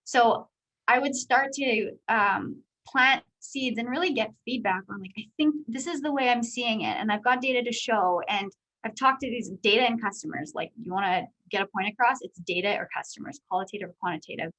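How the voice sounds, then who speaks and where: A woman in her thirties from Canada with a high voice, answering interview questions as a guest speaker in a candid, chatty way full of fillers. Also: brisk, fairly bright tone, low-quality recording. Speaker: guest speaker, company executive.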